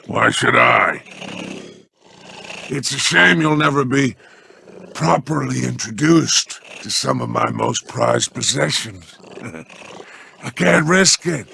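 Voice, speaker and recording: An actor voicing a smooth, scheming male alien crime boss in a video game, talking in a silky, sly, theatrical way. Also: medium pitch, bright, forceful tone, slight background noise, exaggerated voice.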